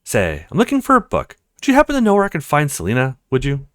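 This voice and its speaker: A man's voice: fairly high pitch, huge pitch swings, fast talker, no room sound, clean studio sound. A man voicing a smug, suave, teasing female professor in a visual novel. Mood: needling. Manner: suave, needling. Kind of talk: visual novel character reading.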